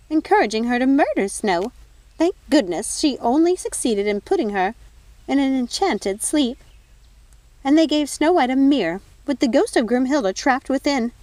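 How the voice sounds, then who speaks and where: Brief: storybook fairy godmother, old, prim and bossy, very high and swooping, full of officious indignation. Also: expressive, normal speed, a little mellow, no echo. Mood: officious outrage.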